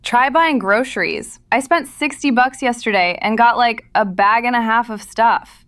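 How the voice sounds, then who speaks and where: A woman's voice: on the high side, moderate pace, fairly bright tone, distant mic, natural voice. A woman playing a blunt, sardonic, foul-mouthed gas station clerk in an audio drama. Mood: jaded.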